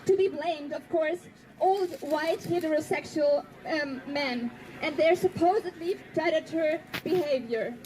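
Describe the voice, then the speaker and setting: High-pitched woman giving a speech. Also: darkish tone, a little hiss or hum, low-quality recording. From Germany. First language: German. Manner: earnest, pointed, slows down for emphasis. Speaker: activist speaker. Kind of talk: prepared speech to an audience.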